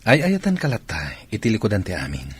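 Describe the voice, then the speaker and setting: Man acting deep, husky, evasive cheating husband. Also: wildly swooping pitch, fast, heavily acted. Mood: reproachful. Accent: Ilocano Filipino. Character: evasive, defensive married man with mistress. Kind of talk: Filipino radio comedy-drama acting.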